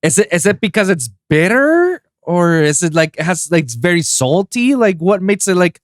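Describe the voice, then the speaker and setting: Higher-voiced, animated man from Puerto Rico. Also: normal speed, no echo, studio quality. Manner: informal, says man and bro often. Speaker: podcast host. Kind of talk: casual chat.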